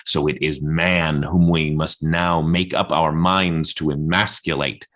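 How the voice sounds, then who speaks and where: Man acting a low-voiced, obsessive raving prophet. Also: steady tone, a little slow, a bit bright. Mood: fervent, insistent. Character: raving male poet-prophet, obsessive, defiant, blasphemous. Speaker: actor in an adapted radio play. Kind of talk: theatrical radio-play monologue.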